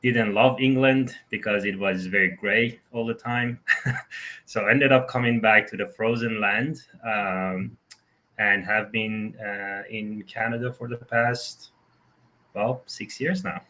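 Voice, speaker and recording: Male interview guest, thirties, Iranian accent, low forceful voice, conversational delivery. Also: fairly even intonation, takes it slowly. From southern Iran. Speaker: interview guest, tech founder. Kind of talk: conversational personal account.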